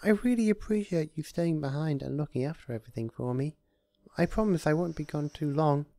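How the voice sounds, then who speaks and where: A man voicing a gentle, apologetic young female pony in a storybook reading, hesitant, stammering and soft-spoken. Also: on the high side, fairly quick, vocal fry, dry recording, voice pushed up. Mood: shy, grateful. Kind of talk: storybook character voice.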